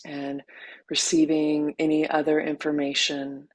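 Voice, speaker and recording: A woman teacher leading a guided meditation in a gentle, unhurried way, leaving long pauses between short phrases. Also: low-pitched, monotone, no echo.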